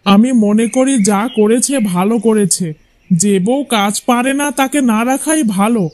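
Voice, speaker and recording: A man's voice: high voice, moderate pace, darkish tone, natural voice. A man voicing a chatty, opinionated husband in a cartoon folk tale, with a coaxing manner. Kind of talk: Bengali cartoon fairy-tale voice acting.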